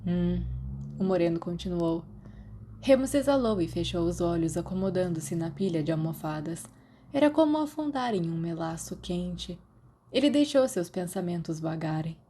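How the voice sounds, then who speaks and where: Podfic narrator: Brazilian-accented woman, slightly low pitch, very soft mellow tone, scripted storytelling. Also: expressive, moderate pace, a little hiss or hum.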